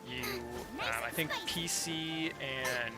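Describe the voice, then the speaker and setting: A man with a mid-pitched voice chats casually as he streams a video game. He rambles in an easygoing, slangy way, with loud game music behind him. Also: slow, poor audio. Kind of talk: casual livestream chat while playing. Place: home office. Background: loud video game music and sounds.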